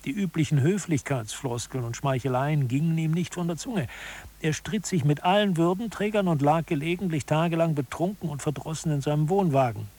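An actor playing an expert who explains case studies in formal scripted radio narration. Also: male voice, a little high, a little fast, a little mellow, natural voice. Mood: explanatory.

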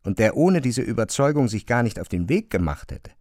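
A man's voice: mid-range voice, very animated, fairly quick, darkish tone, no room sound, studio quality. A man narrating a literary radio play.

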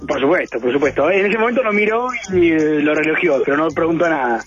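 An Argentine man tells a story quickly on the radio, his voice slightly high. Faint music plays underneath. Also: lively intonation, dull audio. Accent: Argentine Rioplatense. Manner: anecdotal storyteller.

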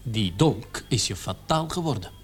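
A man's voice: medium pitch, expressive, normal speed, breathy edge, soft background music. An actor in a radio drama playing a sardonic male police interrogator who probes and mocks as he questions. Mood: pressing, accusing. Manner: probing, mocking.

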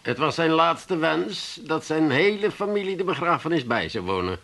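A plaintive, self-pitying man in a comic radio play. He speaks theatrically, in a bright voice that is high for a man and swoops widely in pitch. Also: big pitch swings, moderate pace. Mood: solemn. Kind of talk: comic radio drama acting.

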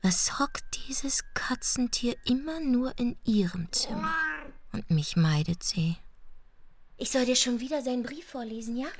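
An actress playing a brooding, defiant daughter who pines for her twin brother, in intimate, husky radio drama acting. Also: fairly high pitch, expressive, a little slow. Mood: needling, impatient. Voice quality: husky, breathy.

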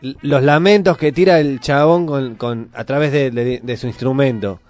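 A man of average pitch with a Buenos Aires Argentine accent chats as a radio panelist. His talk is colloquial and a bit halting, over background music. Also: steady tone, darkish tone. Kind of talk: casual chat.

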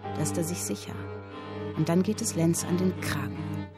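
A woman's voice: low-pitched, sing-song, normal speed, over loud music. An actress playing an earnest young woman writer, speaking in a dramatic, literary radio drama style. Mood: indignant, plotting. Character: earnest young woman writing and reading her story.

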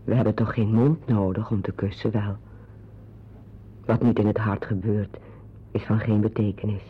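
A man playing a tender, solemn lover in an intimate radio drama, with a husky, breathy voice. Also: fairly low pitch, little pitch movement, moderate pace, slight background noise, natural voice. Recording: dull lo-fi radio play recording.

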